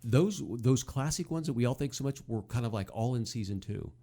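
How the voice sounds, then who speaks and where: A man's voice: mid-range voice, expressive, brisk, mellow. A man co-hosting a show, giving casual, conversational commentary. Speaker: podcast co-host.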